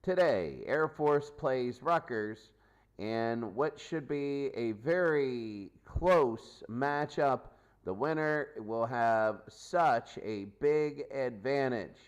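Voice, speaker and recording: Slow-paced man hosting a sports pregame. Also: medium pitch, animated, smooth. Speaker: sports host and announcer. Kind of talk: unscripted sports pregame commentary.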